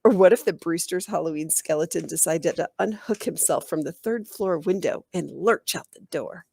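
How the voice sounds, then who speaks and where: A woman reading a children's book aloud in an animated, expressive storytelling style. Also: lowish voice, moderate pace, gravelly, husky. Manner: animated, acts out dialogue.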